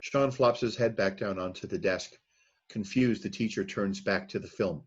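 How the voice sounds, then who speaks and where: A man narrating the stage directions at a screenplay read-through in a plain, steady reading voice. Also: on the low side, normal speed, husky, lo-fi sound. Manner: plain, steady reading of scene headings and action.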